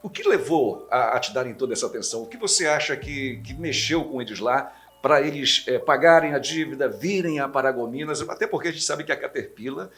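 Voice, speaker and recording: A man's voice: medium pitch, animated, fairly bright tone. A man hosting a podcast interview, asking follow-up questions and backing his guest up with short replies. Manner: attentive, laughs along, quick follow-up questions. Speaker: podcast host.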